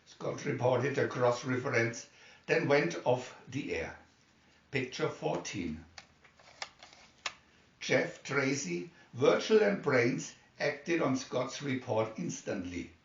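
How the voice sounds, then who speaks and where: A man's voice: mid-range voice, big pitch swings, takes it slowly, slight background noise. Man reading a story, roomy distant sound. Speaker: amateur reader of a children's story booklet. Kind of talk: scripted story narration, read aloud. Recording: dull, roomy, distant microphone.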